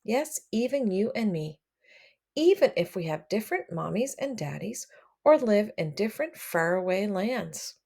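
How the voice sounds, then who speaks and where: Woman reading to children, very lively intonation. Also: medium pitch, moderate pace, vocal fry. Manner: expressive, addresses children directly. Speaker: read-aloud storyteller for children. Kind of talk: picture book read aloud with commentary.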